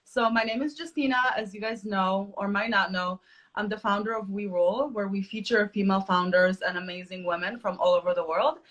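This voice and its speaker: A woman's voice: medium pitch, steady tone, brisk, fairly bright tone. A woman hosting a casual live video interview from New York over a video call, chatty and laughing often, with many fillers. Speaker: podcast host.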